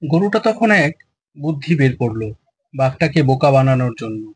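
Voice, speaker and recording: A man's voice: medium pitch, lively intonation, moderate pace, darkish tone, slightly rough. A man narrating a children's fairytale in a scripted storytelling style.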